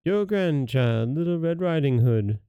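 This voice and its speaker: A man voicing a sly, hungry storybook wolf who coaxes with feigned gentleness. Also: on the high side, lively intonation, a little slow, smooth, dry recording, dull audio, voice pushed up. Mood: falsely sweet. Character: sly hungry male wolf, falsely sweet.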